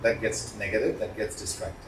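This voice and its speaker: A man giving an instructional lecture as a trainer, speaking deliberately and emphatically with pauses between points. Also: fairly low pitch, fairly even intonation. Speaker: communication trainer. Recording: roomy, distant mic, faint background noise.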